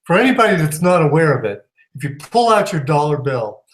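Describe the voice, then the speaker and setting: A man's voice: on the high side, very animated, fairly quick. A man appearing as a guest on a talk show, speaking hesitantly with many fillers and speculating aloud.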